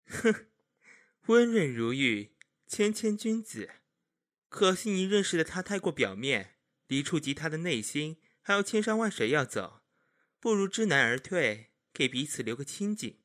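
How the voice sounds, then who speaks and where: A man playing a haughty, jealous young gentleman of ancient China in a period audio drama, with mocking, theatrical emphasis. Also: on the high side, very animated, a little slow. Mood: taunting, smug.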